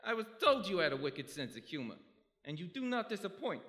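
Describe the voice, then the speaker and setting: A man's voice: high-pitched, big pitch swings, a little fast, sharp tone, exaggerated voice. A man playing a brash, menacing gangster businessman, cocky and slangy, in a comic radio play. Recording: echoey hall, live stage recording.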